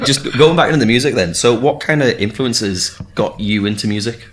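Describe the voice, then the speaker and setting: A man with a North East English accent, co-hosting a pub podcast and chipping in quick casual remarks. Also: medium pitch, a bit bright, a little hiss or hum. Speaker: podcast co-host.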